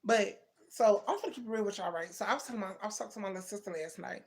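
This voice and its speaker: Brief: woman in her thirties, livestream host, gravelly voice, chatty and familiar with her viewers. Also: mid-range voice, racing. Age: 30s. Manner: chatty, addresses viewers as baby and twin, answers comments. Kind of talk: casual live chat with viewers.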